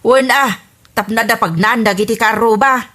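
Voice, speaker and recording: A woman's voice: a little high, moderate pace, bright, forceful tone. A woman with an Ilocano accent playing a scolding, exasperated mother in a radio comedy drama. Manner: nagging, dramatic, emphatic.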